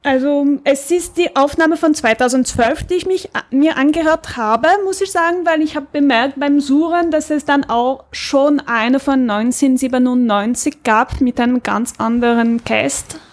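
High-voiced woman chatting. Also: moderate pace, few pauses. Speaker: podcast co-host. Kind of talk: casual chat.

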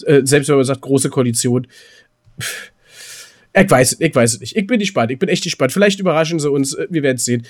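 Male podcast co-host. Mid-pitched voice, loose chatty delivery that stops, restarts and wanders. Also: animated. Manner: rambling, halting, repeats words mid-sentence. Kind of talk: casual chat between co-hosts.

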